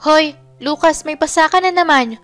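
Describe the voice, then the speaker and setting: A woman voicing a lively, bossy eight-year-old girl with an insistent, childlike manner. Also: high-pitched, normal speed, soft background music, pitched above the performer's own voice.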